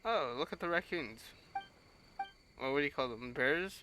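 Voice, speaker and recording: A man's voice: a little high, animated, normal speed, a little hiss or hum. A man streaming a video game, making offhand, sweary, wry asides. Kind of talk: casual live-stream commentary. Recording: voice over loud game music. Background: game music.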